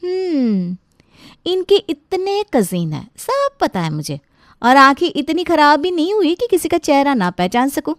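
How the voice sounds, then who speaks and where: A woman voicing a cheeky, chatty young bride in an animated family story, teasing and playful. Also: sits high, a little slow, no room sound, studio quality. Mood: teasing, sly. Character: cheeky, chatty young bride who fusses over her in-laws.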